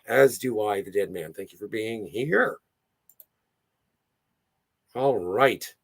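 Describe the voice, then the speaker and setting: A hoarse man hosting a casual livestream, rambling and easily sidetracked as he chats and reads out viewer comments. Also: lowish voice, takes it slowly. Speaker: livestream host.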